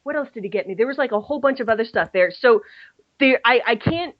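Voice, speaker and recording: A woman's voice: a little high, animated, quick pace, no echo. Casting: young adult woman, rambling podcast co-host, dull lo-fi dry recording. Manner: rambling, self-deprecating, chatty with restarts. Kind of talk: casual chat.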